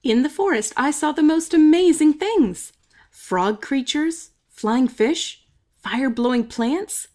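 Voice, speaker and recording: A woman reading a children's story aloud expressively, with dramatic emphasis and some drawn-out words. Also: high voice. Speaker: storybook reader. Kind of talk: expressive children's read-aloud, narrating in first person.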